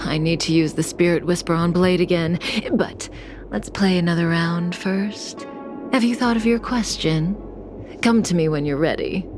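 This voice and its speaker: A calm, enigmatic woman played by an actress from a video game, speaking in a fairly low, measured voice. She is composed and coolly playful, a teasing manipulator who sounds fully in control. Music plays clearly behind her. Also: close to an everyday voice.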